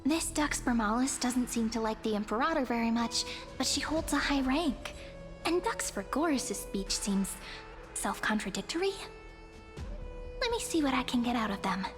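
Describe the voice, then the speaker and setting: A woman playing a polite, inquisitive young traveller heroine in a video game, gentle and thoughtful. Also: fairly high pitch, animated, normal speed, over music, natural voice. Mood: pondering. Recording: long hall reverb.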